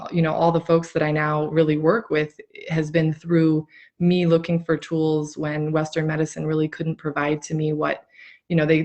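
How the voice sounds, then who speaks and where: A woman's voice: deep voice, a little mellow, muffled recording. A woman hosting a casual live interview, speaking earnestly in long, meandering sentences. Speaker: host of a live interview show. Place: remote online live video call.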